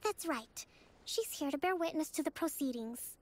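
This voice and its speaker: A poised young female island leader in a video game. Her voice is high, her speech polite, firm and diplomatic. There is faint noise in the background. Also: expressive, moderate pace. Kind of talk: scripted video game dialogue.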